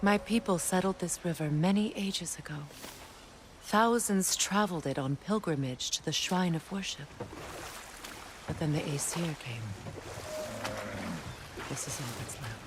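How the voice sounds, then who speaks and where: A woman playing a proud, wistful Norse goddess and weary former queen in a video game, speaking in a measured, solemn way. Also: on the low side, very noisy, lightly acted. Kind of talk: dramatic video game acting.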